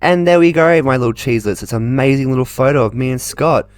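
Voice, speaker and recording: A man's voice: medium pitch, fast talker, no echo, studio quality. A man doing casual, chatty let's play commentary in a playful way, reading game text aloud as he goes. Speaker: gaming channel host.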